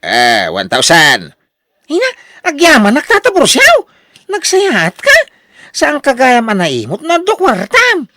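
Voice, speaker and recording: A male actor plays a boastful older husband in a Filipino radio drama, with an Ilocano accent. The pitch swings widely and the tone is bright and forceful. He is expansive and full of exclamations, recorded close and dry. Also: wildly swooping pitch, unhurried, dry recording, heavily acted. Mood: gleeful, showy. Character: boastful, generous older husband, newly rich lottery winner.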